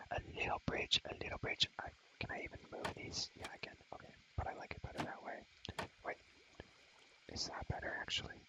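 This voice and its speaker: A man's voice: pushed and bright, low-quality recording. A man whispering breathily in a casual ASMR style as he shows a game, rambling and repeating phrases over and over. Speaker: ASMR content creator.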